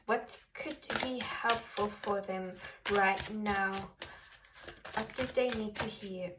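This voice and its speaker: Slow-paced non-native English-speaking woman, distant mic. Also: medium pitch, steady tone, noisy background. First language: not English. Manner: halting, searching for words. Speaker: tarot card reader. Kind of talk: spiritual card reading to camera.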